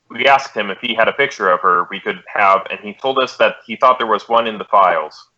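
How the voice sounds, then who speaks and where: An American actor playing a terse, businesslike police detective who narrates an old radio crime drama in a deadpan, polite way. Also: male voice, fairly low pitch. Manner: deadpan, matter-of-fact, polite. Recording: dull, band-limited old radio recording.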